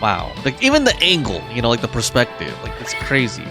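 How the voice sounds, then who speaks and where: A woman gives casual playthrough commentary and reads game text aloud. Her voice is low but swings very widely in pitch, with clear game music behind it. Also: wildly swooping pitch, fairly quick. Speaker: gaming streamer. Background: video game music.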